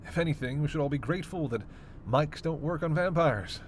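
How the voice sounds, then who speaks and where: A man's voice: on the high side, a little fast, slight background noise, close to an everyday voice. A man playing a sarcastic, teasing immortal vampire passenger in a roleplay audio drama, needling and foul-mouthed with theatrical exasperation. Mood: smug, defensive.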